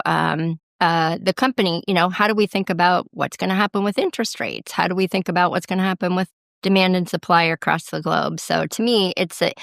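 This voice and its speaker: Woman with a low gravelly voice. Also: no room sound, studio quality. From Midwest US, Ohio. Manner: thoughtful, many fillers and restarts. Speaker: guest, chief financial officer. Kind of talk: conversational interview answers.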